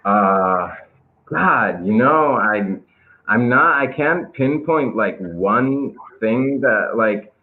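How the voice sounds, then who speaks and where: A man's voice: medium pitch, lively intonation, drawn-out words. Laid-back man on a tinny call line. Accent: American-influenced English, Israeli. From Israel. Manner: laid-back, hesitant with many fillers and restarts. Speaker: guest skateboarder. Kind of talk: casual interview chat.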